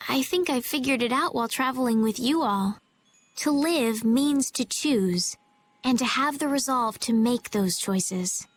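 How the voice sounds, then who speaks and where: A woman voicing a gentle, thoughtful young female healer in a video game, speaking softly, hesitantly and sincerely. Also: a little high, unhurried, a little hiss or hum, close to an everyday voice. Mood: reflective, sincere. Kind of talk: video game dub acting.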